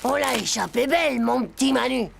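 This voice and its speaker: A woman voicing a brash, hot-headed, mischievous schoolboy in a cartoon, with a gravelly voice and cheeky, emphatic, slangy delivery. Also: high voice, animated, fairly quick, heavy background noise, full character voice. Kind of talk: animated cartoon dialogue. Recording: music playing behind the voice.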